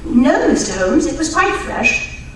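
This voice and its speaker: A woman's voice: sits high, big pitch swings, a little slow, a bit bright, heavily acted. A woman playing a genteel, eager titled lady archaeologist in an old-time radio drama, polite and earnest. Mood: explanatory. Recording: very echoey hall, distant mic, faint noise.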